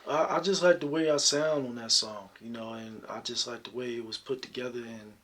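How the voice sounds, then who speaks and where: A man with a deep talking voice, answering interview questions about his singing in a relaxed, reflective way. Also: a little fast, slight background noise. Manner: relaxed, reflective, many "you know" fillers. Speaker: singer guest.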